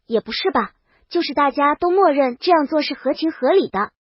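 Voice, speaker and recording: A woman's voice: high-pitched, animated, a little fast, no room sound, dull audio. A woman voicing a brash, boastful young princess in an audiobook, bold and casual. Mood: frank, puzzled. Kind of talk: audiobook character dialogue.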